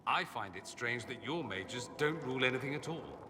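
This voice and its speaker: An actor playing an urbane, witty, self-assured aristocrat mage in a video game, articulate, theatrical and sardonic. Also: male voice, mid-range voice, expressive, moderate pace, close to an everyday voice. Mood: wry, amused. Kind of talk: video game dialogue acting. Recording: echoey hall with ambient noise.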